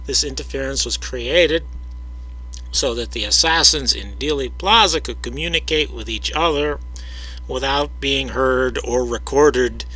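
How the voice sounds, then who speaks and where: Husky, bright-toned man explaining slowly. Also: medium pitch, rough recording. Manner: measured, explanatory, with frequent hesitations; addresses the viewer directly. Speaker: video creator explaining audio analysis. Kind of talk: voice-over narration of his own video.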